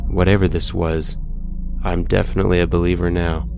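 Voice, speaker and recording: Deep-voiced man reading ghost stories over music. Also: flat delivery, fairly quick, gravelly. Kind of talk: scripted narration, reading a first-person scary story. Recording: loud background music. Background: music bed.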